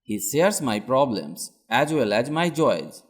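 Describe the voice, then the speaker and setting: A man reading out words and short example sentences as a scripted English lesson, in a deliberate, instructional manner. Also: medium pitch, very animated, husky. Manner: deliberate, each sentence said twice. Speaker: English language teacher.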